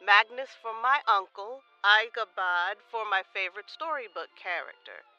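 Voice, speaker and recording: A woman's voice: mid-range voice, normal speed, pushed and bright, clear, resonant tone, muffled recording, faint music behind. An actress playing a doting older mother in an audio drama, affectionate and playfully bossy. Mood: fond, proud.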